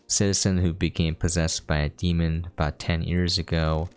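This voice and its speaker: A man's voice: sits low, little pitch movement, moderate pace, clear, resonant tone. A man giving casual, explanatory gameplay commentary, talking informally to his viewers. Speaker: game streamer giving a preview. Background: game music.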